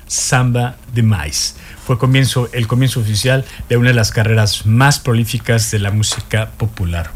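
A man hosts a radio show from the studio. He answers his guest with short agreements and reads a prepared segment. His voice sits at a middle pitch. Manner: brief affirmations, reads segment script. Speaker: radio host. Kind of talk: radio hosting and script reading.

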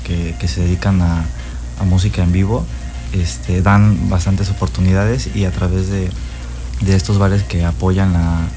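A man of twenty, a band member giving rambling answers full of fillers. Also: deep voice. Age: 20s. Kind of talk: interview answers.

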